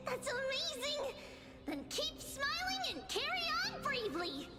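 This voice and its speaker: A woman voicing a tiny, cheerful, childlike fairy girl in a video game, excitable and affectionate as she exclaims. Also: extremely high pitch, takes it slowly, in a large hall, over loud music, exaggerated voice. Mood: gleeful, affectionate. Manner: refers to itself in third person, exclaiming. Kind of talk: animated video game voice acting.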